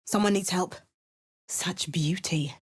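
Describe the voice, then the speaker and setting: A woman's voice: on the low side, slow pace, slightly rough, no echo, studio quality. A woman voicing an adventurer's lines in a video game, with wry, understated asides and narration. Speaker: video game player character.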